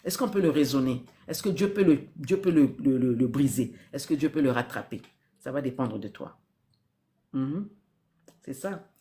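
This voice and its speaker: A woman's voice: extremely low pitch, lively intonation, normal speed, dark tone. Earnest woman giving spiritual advice. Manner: earnest, repeats key phrases, asks rhetorical questions. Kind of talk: live-stream spiritual advice.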